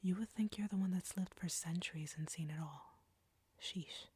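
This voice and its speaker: Female vampire captor, acted by a woman, audio roleplay: low, close and dry, whispery, slow, smug and flirtatious. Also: darkish tone, dry recording. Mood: smug teasing. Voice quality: breathy, whispery. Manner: slow, taunting, condescending.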